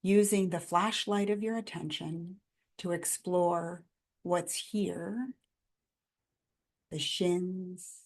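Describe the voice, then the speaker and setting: Very slow-paced woman guiding meditation. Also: fairly low pitch. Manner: gentle, calm, instructional; measured phrases with long pauses. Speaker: meditation guide. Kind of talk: guided body scan meditation.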